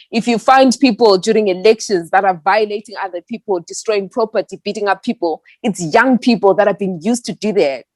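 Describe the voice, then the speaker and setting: A young woman from Zimbabwe speaks in an interview in an earnest, steady, reflective way. Her voice sits at a middle pitch. The recording is dry and close. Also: dry recording. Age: young adult. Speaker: activist guest. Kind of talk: interview answer.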